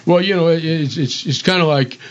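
A man's voice: a little high, a little fast, highs cut off. An older man co-hosting a radio show in casual, conversational talk. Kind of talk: casual chat about old radio westerns.

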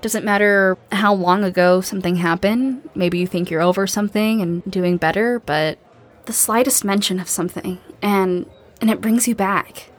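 A woman playing a guarded, grieving young survivor in a naturalistic audio drama, earnest, self-deprecating and halting in her delivery. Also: medium pitch, natural voice. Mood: subdued, hesitant. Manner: hesitant, halting.

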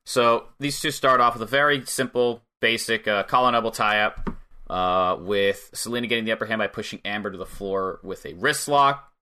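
A man co-hosting a casual podcast, recapping wrestling matches in a wry, relaxed way and swearing freely. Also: lowish voice, fairly quick, clear, resonant tone. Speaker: podcast co-host.

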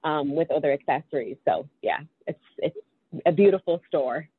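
A woman's voice: sits low, moderate pace, husky, no room sound. A woman in her twenties with a Dublin Irish accent, chatting as an interview guest with many ums and restarts. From Ireland. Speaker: podcast guest. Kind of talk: casual interview chat. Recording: tinny phone-like line.

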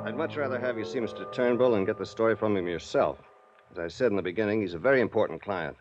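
An actor playing an evasive, coaxing insurance executive in a 1950s radio drama. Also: male voice, on the low side, fast talker, soft background music, close to an everyday voice. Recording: dull dry old radio transcription.

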